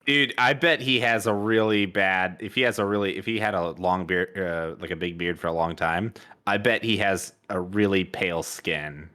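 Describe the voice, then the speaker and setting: Deep-voiced man rambling ideas. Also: normal speed, bright, forceful tone. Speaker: player. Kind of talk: tabletop banter.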